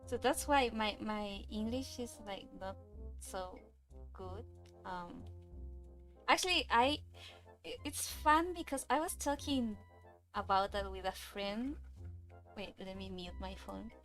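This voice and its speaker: Woman, native Cantonese speaker, halting casual stream chat, faint music behind. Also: mid-range voice, a bit bright. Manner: hesitant, nervous, halting with restarts. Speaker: streamer drawing a webcomic.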